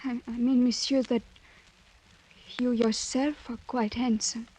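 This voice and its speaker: High-voiced proud young noblewoman. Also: little pitch movement, measured pace, warm tone, breathy edge, low-quality recording. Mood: indignant pride. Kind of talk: 1940s radio drama acting.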